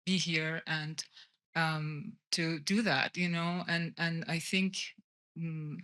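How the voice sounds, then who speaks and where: Soft-spoken woman, smooth steady voice. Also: low-pitched, slow pace. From Iran. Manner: soft-spoken, hesitant, many ums. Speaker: documentary director on a festival panel. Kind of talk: panel talk. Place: festival panel lounge.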